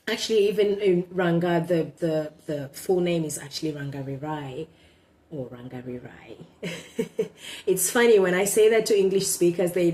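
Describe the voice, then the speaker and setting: A woman's voice: low voice, darkish tone. A woman from Zimbabwe with a Zimbabwean accent, whose first language is Shona, reading her own blog posts aloud in a casual vlog with a hesitant, reflective manner. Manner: hesitant, many ums and restarts, reflective.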